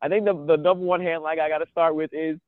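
A man with a cold cohosts a podcast over the phone from home, his voice thin and tinny, with a somewhat high pitch and a dry sound. He rambles excitedly, restarting his sentences. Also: fast, no echo. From United States. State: sniffly with a cold. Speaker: podcast co-host. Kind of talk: casual podcast chat. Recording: thin phone-line audio.

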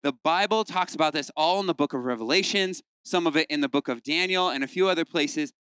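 A man's voice: fairly high pitch, fast talker, a bit bright, clean tone, no room sound. A young man preaching a casual, playful sermon to youth and acting out quoted voices. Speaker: youth pastor.

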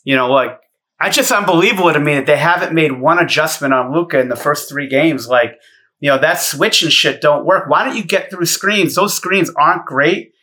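A man's voice: fairly high pitch, normal speed, bright, forceful tone. A man in his thirties co-hosting a sports podcast, ranting bluntly with heavy swearing. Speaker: podcast co-host. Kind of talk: profane sports podcast banter.

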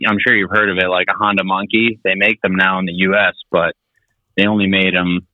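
A man's voice: deep voice, little pitch movement, fairly quick, no echo. A young man from Finland chatting casually as a podcast guest, rambling and laid-back with many fillers. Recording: tinny phone-like audio.

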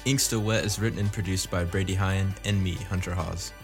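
Low-voiced male podcast co-host. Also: steady tone, a little fast. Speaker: podcast co-host, writer and producer. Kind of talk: scripted podcast announcements with light jokes. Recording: music bed behind voice.

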